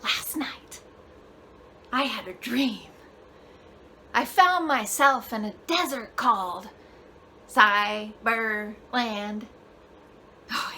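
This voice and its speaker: Casting: actress as eccentric female performance artist, high bright voice, impassioned stage monologue with slow, drawn-out, half-sung phrases. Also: slight background noise, full character voice. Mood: hushed, mysterious. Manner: dramatic, drawn-out, swinging from hushed to loud.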